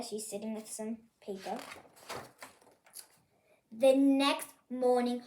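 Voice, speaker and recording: A storyteller reading a picture book aloud for children, expressive and pointing things out to young listeners. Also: high voice, reverberant. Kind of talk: animated picture-book read-aloud for kids.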